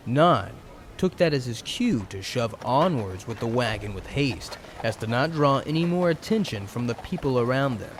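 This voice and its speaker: A man narrates a fantasy story from a script in a dramatic style. His medium-pitched voice rises and falls a lot, with faint noise behind it.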